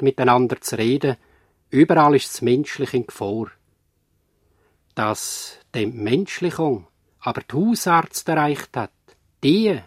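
An actor playing a grave, reflective narrator of a radio play, addressing listeners in a measured way. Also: male voice, medium pitch, darkish tone, no room sound, clean studio sound, natural voice. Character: grave, reflective male narrator concerned for humanity. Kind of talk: scripted radio drama narration.

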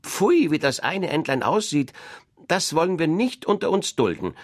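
A spiteful farmyard duck, voiced by a man reading a storybook, sneering loudly in a raised, husky voice. The recording is dry and close. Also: fairly high pitch, big pitch swings, normal speed, dry recording, voice pushed up. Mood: scornful. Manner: scornful, loud.

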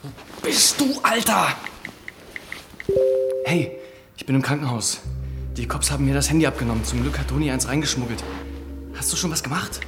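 A man's voice: medium pitch, expressive, moderate pace, long pauses, loud music behind the voice, natural voice. An actor playing a foul-mouthed, agitated young male activist with slangy, abrasive delivery in a radio crime drama.

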